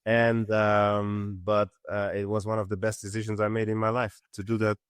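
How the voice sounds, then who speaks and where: A middle-aged man from Switzerland, a company co-founder, answering interview questions in an earnest, halting way with many fillers. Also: lowish voice, smooth, no echo, studio quality. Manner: earnest, many fillers and restarts, steady explanation. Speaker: company co-founder and CEO, guest.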